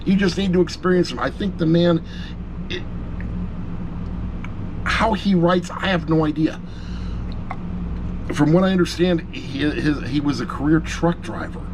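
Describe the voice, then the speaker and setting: A man's voice: mid-range voice, background noise. A middle-aged man giving a casual, opinionated review to camera, speaking informally and emphatically with repetition and some mild swearing. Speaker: audiobook reviewer.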